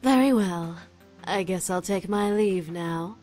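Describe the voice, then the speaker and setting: A woman's voice: mid-range voice, mellow, close to an everyday voice. Smug, seductive demon woman played by an actress over music. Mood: cool, haughty. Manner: mocking, drawn-out, condescending. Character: smug, seductive adult female demon, taunting and haughty. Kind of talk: visual novel game acting. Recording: game audio with music behind.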